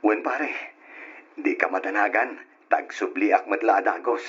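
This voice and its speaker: A man's voice: on the low side, normal speed, fairly bright tone, close to an everyday voice. An actor playing a weary, devoted working husband and father in a radio drama, earnest and plaintive. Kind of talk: old-style radio drama acting. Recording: muffled, hissy old radio drama recording.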